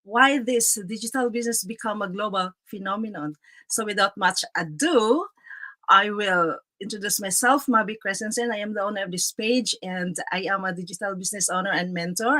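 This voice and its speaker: A woman's voice: medium pitch, fairly quick, fairly bright tone. A woman hosting an online panel, introducing guests in a formal way and calling them sis. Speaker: host.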